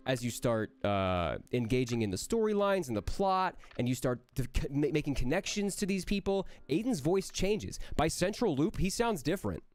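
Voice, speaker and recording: A man's voice: on the high side, darkish tone, no room sound. Nasal young man joking through a Q&A. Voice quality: nasal, sounds like he has a cold. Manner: playful, joking, answers quickly. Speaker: voice actor. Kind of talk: livestream Q&A reading chat questions aloud.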